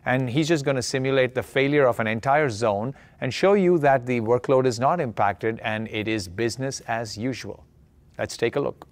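A man's voice: mid-range voice, normal speed, dry recording. A man in his forties presenting a keynote in a conversational, explanatory way, often checking in with a short tag question. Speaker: keynote speaker, tech executive.

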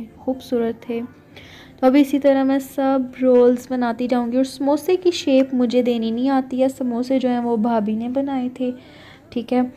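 A woman's voice: a little high, dark tone. Chatty woman walking through a recipe. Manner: chatty, keeps saying "theek hai" to check in with viewers. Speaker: cooking vlogger. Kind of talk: casual cooking narration.

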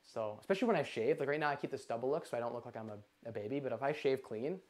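Fast-talking young man hosting a live Q&A. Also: medium pitch. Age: 20s. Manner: energetic, talks with his hands, lots of "like". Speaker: livestream host. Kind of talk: live Q&A on investing. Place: living room with a couch, indoors.

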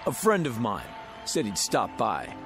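An actor playing a smooth-talking male card dealer in a radio drama, slick and needling. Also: medium pitch, wildly swooping pitch, unhurried, over music. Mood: defiant.

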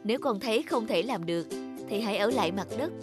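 A woman voicing a loving, wise cartoon mother raindrop in a soft, reassuring manner. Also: mid-range voice, animated, fast, over loud music, natural voice. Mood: tender, reassuring.

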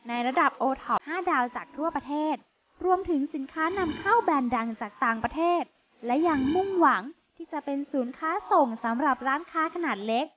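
A woman's voice: high-pitched, fairly quick, lo-fi sound, slight background noise. A young woman hosting a television show, presenting in a polite, chirpy scripted style. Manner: polite, chirpy, frequent soft polite particles. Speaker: TV show host. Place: inside a busy supermarket.

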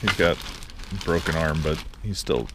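A man's voice: very deep voice, moderate pace. A middle-aged man thinking aloud as he sorts through a cluttered storage room, filmed on a body-worn camera. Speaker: flea market reseller. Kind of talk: casual narration while sorting. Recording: noisy handheld camera audio.

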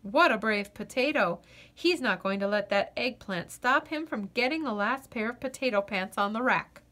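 A woman reading a children's picture book aloud in an expressive, playful way. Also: a little high, normal speed. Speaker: storybook reader.

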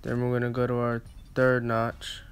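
Casting: male barber for a tutorial voice-over, smooth medium-pitched voice, flat casual delivery, slightly halting phrasing. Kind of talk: casual step-by-step instructional narration.

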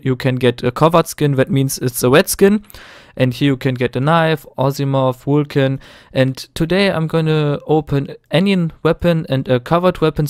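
A man's voice: medium pitch, smooth, no room sound, studio quality. Nervous young man with a German accent. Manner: nervous, hesitant, many fillers and restarts. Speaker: gaming tutorial host. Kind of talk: screen-recorded tutorial narration.

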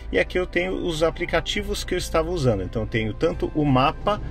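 Middle-aged Brazilian male tech vlogger, casual and explanatory, medium pitch, recorded on a phone in a car with faint music. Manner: conversational, explanatory, frequent tag questions. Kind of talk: casual hands-on product demonstration. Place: inside a parked car. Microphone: phone camera microphone, no external mic.

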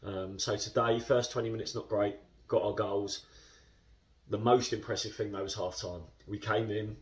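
A man in his thirties speaks in a fairly low voice, talking a post-match interview through in a relaxed, rambling way. He is recorded indoors on a camera set a little back from him. The sound has a slight distance to it. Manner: chatty, measured, lots of fillers. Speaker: football club captain. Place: indoor club room.

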